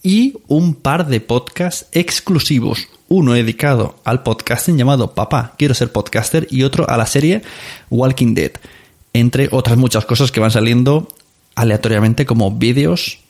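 A man's voice: medium pitch, moderate pace, a little mellow. A man hosting a podcast in a casual, playful, effusive way, chatting to listeners. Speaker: podcast host. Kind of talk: casual podcast talk, reading reviews.

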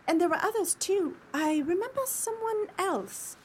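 A woman playing a gentle, wistful young cottage maid in an earnest radio play. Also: very high voice, expressive, moderate pace, a little hiss or hum.

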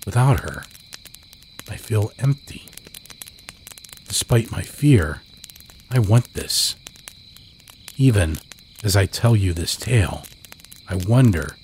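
Man playing a very deep, slow, haunted grieving lover. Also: a little mellow, slight background noise. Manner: solemn, brooding, measured. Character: grieving, frail narrator longing for his dead beloved. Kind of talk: first-person horror campfire tale. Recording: cricket night ambience under the voice.

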